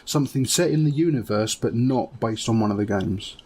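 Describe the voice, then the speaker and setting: A man's voice: medium pitch, fairly quick, mellow, slight background noise. A man chatting casually in a group as co-host of a gaming podcast. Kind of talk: casual group chat about games and films.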